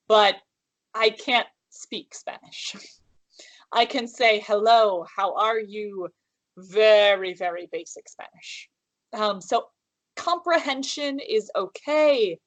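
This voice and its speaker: A woman from the United States who teaches English, answering interview questions in a measured way, often restarting and repeating her phrases. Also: on the high side, no echo, low-quality recording. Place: room at home with calligraphy on the wall.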